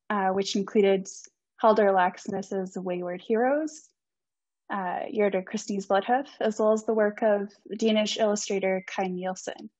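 A woman's voice: mid-range voice, a little mellow, no room sound. A young woman in her twenties giving an academic conference talk over a video call, polite and earnest with frequent ums. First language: not Icelandic. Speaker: student presenter. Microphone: computer mic on video call. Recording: dry, slightly dull video-call audio.

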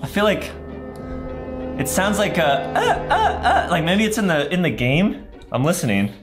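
A young man with a high, animated voice hosts a gaming video for kids. He is excitable and theatrical, often shouting. He is recorded in an echoey room over music. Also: moderate pace. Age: 20s. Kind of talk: exaggerated kids' gaming commentary. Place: small room at home, indoors. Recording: roomy echo with loud background music.

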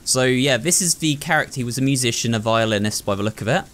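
A man's voice: medium pitch, fast, fairly bright tone. A man hosting a video game playthrough, thinking aloud and talking to viewers in a running commentary. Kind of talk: excitable gameplay commentary.

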